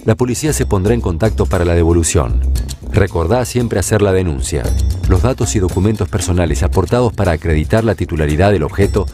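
A male announcer with an Argentine accent, reading a public service announcement in a measured, formal voice-over. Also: sits low, expressive, over music. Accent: Argentine Spanish.

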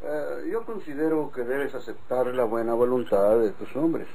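An actor playing a calm, courteous rural official in an old radio drama, with a Mexican accent. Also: male voice, mid-range voice, a little slow, creaky, rough recording.

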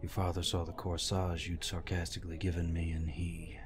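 An actor playing a gruff, protective older war veteran in an intimate audio roleplay, deep-voiced, tender and teasing. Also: male voice, completely flat delivery, moderate pace, a crowd in the background, close to an everyday voice. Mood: troubled, confessing.

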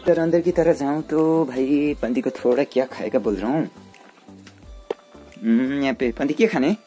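A man's voice: medium pitch, normal speed, dark tone, faint music behind. Young man vlogging around his village. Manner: chatty, mixes asides to family with narration to camera. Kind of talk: casual vlog narration. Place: rural hillside house and village, indoors and out.

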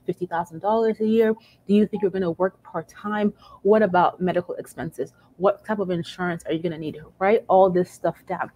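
A woman's voice: on the low side, steady tone, fairly quick, warm tone, dry recording, low-quality recording. A woman in her thirties giving encouraging personal finance advice over a video call from home, often adding "right?". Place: home room, indoors. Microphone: video call webcam.

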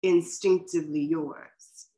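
A middle-aged woman poet reading poems and introducing them casually on a video call, in a measured, deliberate way. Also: sits low, fairly even intonation, mellow, rough recording. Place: small furnished room at home, indoors. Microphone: video call computer microphone.

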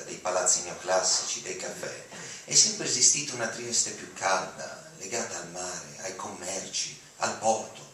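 A young adult man giving an expressive, dramatic reading of literary prose. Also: lowish voice. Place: auditorium podium, recorded from the audience. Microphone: podium mic, picked up by far camera. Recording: echoey hall, distant mic, background noise.

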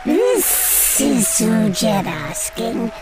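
Woman playing a slow, swooping imperious goddess. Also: on the high side, huge pitch swings, vocal fry, heavily acted, poor audio. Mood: imperious, coaxing. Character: Ujet, imperious, seductive female deity. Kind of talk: sci-fi radio comedy acting. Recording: loud music over voice.

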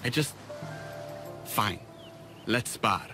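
A man playing a troubled, vulnerable young Athenian war veteran in a video game, speaking haltingly. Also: fairly low pitch, animated, music in the background, heavily acted. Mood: guarded. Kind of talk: video game cutscene acting.

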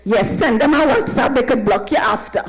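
A woman evangelist with a Trinidadian accent and a high-pitched voice addresses a church gathering. She speaks into a handheld microphone and keeps calling on listeners to answer back as she preaches. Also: normal speed, soft background music. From Trinidad and Tobago. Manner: call-and-response, asking listeners to affirm. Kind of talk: preaching, welcoming a congregation. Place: tented church platform with draped backdrop.

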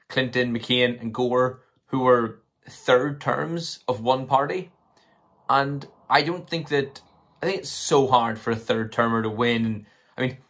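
A man in his twenties with a slightly hoarse voice, talking casually and tentatively to camera as he thinks through his commentary. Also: mid-range voice, a bit bright. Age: 20s. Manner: tentative, hedging, thinking aloud. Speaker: political commentary vlogger. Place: small room at home, indoors.

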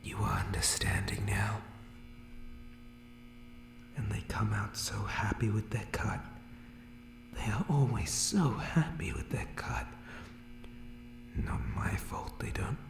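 A man voicing an unhinged, hair-obsessed monster barber in a horror ASMR roleplay, muttering broken, repetitive phrases in a creepy, pleading, breathy whisper. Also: on the low side, roomy sound.

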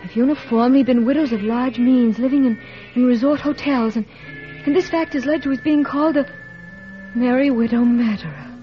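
A troubled, earnest teenage girl played by a woman in a 1940s radio play. Her voice sits on the high side, in a dull vintage recording with music underneath. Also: moderate pace, natural voice. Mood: tense reading.

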